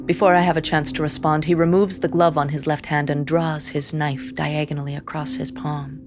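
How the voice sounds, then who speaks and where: An actress playing an anxious, devoted sister who narrates a dark fantasy audio drama in a measured, intense way. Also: female voice, sits low, little pitch movement, music in the background, lightly acted. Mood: grave, tense. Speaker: first-person narrator.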